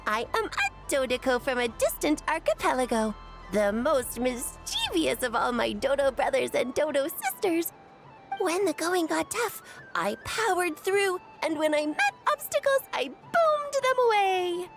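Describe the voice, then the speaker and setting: A woman's voice: high-pitched, very animated, measured pace, soft background music, full character voice. A woman voicing a small, mischievous, boastful furry mascot creature in a video game, cutesy and childlike. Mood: proud.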